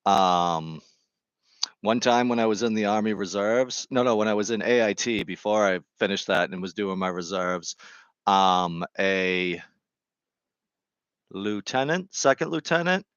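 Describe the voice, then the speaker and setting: A man's voice: on the low side, a little slow, fairly bright tone, clear, resonant tone, no room sound, highs cut off. A man with a New England accent chatting casually and rambling off on tangents while he gardens. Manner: chatty, digressive, self-deprecating. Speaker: gardening streamer.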